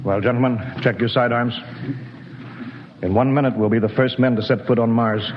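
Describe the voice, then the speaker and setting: An actor playing a firm, cautious spaceship captain, giving crisp, authoritative orders in a 1950s radio drama. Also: male voice, mid-range voice, fairly even intonation, moderate pace, background noise, close to an everyday voice. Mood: steady. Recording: dull old radio recording with hall reverb.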